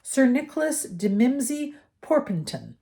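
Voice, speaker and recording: A woman voicing a mournful, courtly old gentleman ghost in an audiobook, speaking formally and wistfully. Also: sits high, sing-song, a little slow, creaky, pitched above the performer's own voice, heavily acted.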